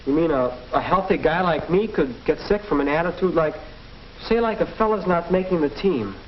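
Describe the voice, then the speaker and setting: A man's voice: fairly high pitch, animated, moderate pace, lightly acted. An American young man playing an earnest, naive teenage boy in a 1940s instructional film, asking eager, slightly hesitant questions. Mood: puzzled, curious. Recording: muffled, hissy 1940s film soundtrack.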